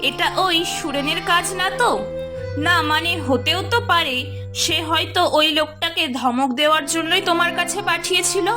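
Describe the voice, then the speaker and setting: A woman playing a suspicious, bossy village wife in an animated family drama, with a questioning, nagging manner. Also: extremely high pitch, normal speed, music in the background. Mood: puzzled. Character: suspicious, bossy village housewife scolding her husband.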